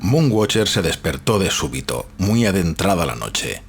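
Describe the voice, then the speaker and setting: A man from reads literary fiction as a scripted audiobook narration, in a measured, expressive storytelling manner. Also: low voice. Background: background music.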